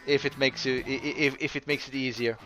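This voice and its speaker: A man from Croatia with a mixed Croatian accent, chatting casually while streaming a game and answering viewers, with frequent pauses. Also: mid-range voice, level tone, a bit bright, faint music behind. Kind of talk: casual gameplay commentary.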